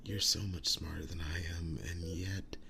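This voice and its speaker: A man playing a tender, wounded, earnest werewolf boyfriend in an intimate ASMR roleplay, breathy and often whispering, speaking in halting, soft reassurance. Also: low-pitched, steady tone, over music. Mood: earnest, reassuring.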